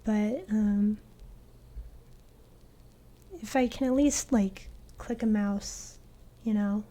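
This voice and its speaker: A young woman streaming a game, chatting in a soft, hesitant way with lots of fillers. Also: medium pitch, extremely slow. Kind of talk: casual gaming stream chat.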